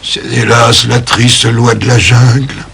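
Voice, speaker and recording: A man's voice: medium pitch, little pitch movement, takes it slowly, gravelly, very noisy. A man voicing a resigned, fatalistic adult animal of the jungle in a French cartoon dub, grave in manner. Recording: loud music under the voice.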